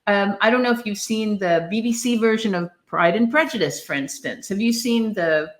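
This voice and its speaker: A middle-aged woman with a mid-pitched voice talks casually about literature as a podcast co-host. She is emphatic and opinionated, and readily drifts into tangents. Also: normal speed.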